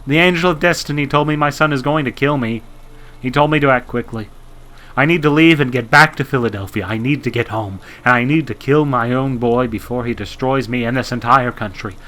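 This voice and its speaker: A man's voice: mid-range voice, a little fast, fairly bright tone, pitched above the performer's own voice, lightly acted. A man playing a distraught, devout president in an audio drama, stammering and quavering with melodramatic fervour. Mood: frantic resolve. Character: distraught, fanatically devout male president, easily overwhelmed. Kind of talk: melodramatic radio drama acting.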